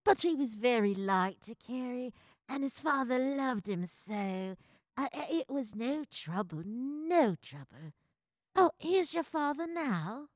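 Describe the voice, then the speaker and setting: A woman playing a gentle, careworn mother in a radio-style drama. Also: high voice, expressive, takes it slowly, dry recording, clean studio sound. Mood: tender, subdued.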